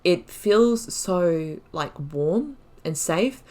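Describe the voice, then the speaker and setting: A woman's voice: lowish voice, lively intonation, extremely slow, darkish tone. Chatty woman commenting on a game. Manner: chatty, reflective, often laughing at own mistakes. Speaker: gamer hosting a playthrough. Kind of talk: casual gameplay commentary, thinking aloud.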